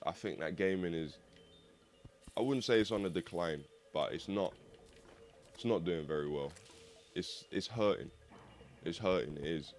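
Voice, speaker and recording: A young man with a slightly croaky voice, rambling casually and swearing as he hosts a gaming video. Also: low voice, a little hiss or hum. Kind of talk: rambling casual monologue over gameplay.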